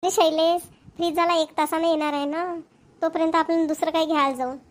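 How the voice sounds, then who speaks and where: A woman's voice: extremely high pitch, fairly even intonation, a little fast. A woman voicing an eager young wife in a cartoon, coaxing and pleading with her husband. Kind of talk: cartoon voice acting.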